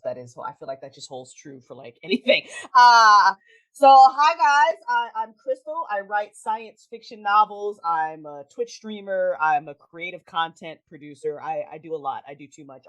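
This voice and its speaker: A woman chatting casually as a podcast guest, animated, self-deprecating and rambling. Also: on the low side, lo-fi sound. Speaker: podcast guest, author and streamer. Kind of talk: casual chat.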